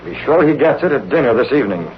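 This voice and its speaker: An actor with an American accent playing a shrewd, composed newspaper boss in a 1930s radio drama. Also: male voice, mid-range voice, very animated, quick pace, lightly acted. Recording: muffled, noisy vintage radio recording.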